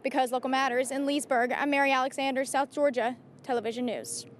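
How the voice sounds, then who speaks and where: A woman's voice: high voice, steady tone, fast, a little hiss or hum. A woman in her twenties delivering a scripted TV news report into a handheld microphone. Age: 20s.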